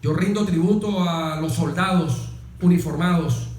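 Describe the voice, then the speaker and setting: Emphatic high-voiced Venezuelan man, patriotic oratory. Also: a little slow, darkish tone, reverberant, slight background noise. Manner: emphatic, oratorical, with rhetorical questions and repetition. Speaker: radio host and former soldier. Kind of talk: patriotic political monologue on a talk show. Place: radio studio.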